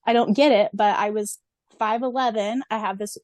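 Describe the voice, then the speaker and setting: A woman in her thirties chatting casually as a podcast co-host, talkative and quick to giggle. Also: medium pitch, fairly even intonation, darkish tone, no echo. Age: 30s. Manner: chatty, giggly, acts out quotes. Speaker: podcast co-host and audiobook reader. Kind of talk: casual fan podcast chat.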